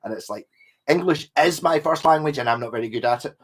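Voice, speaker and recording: A man's voice: a little high, fast, a little hiss or hum. A man hosting a livestream in casual chat, rambling and correcting himself as he reads viewer comments and greets people by name. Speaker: livestream host talking guitars with chat.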